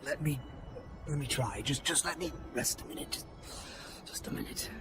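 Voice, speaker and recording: An actor playing a battered, guilt-ridden captive in a game cutscene, speaking in a halting, pained, breathy voice that can sink to a whisper. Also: male voice, on the high side, over music, lightly acted. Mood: pained, ashamed. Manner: halting, pained delivery with repeated words. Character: battered, guilt-ridden male captive confessing after torture. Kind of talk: video game cutscene acting.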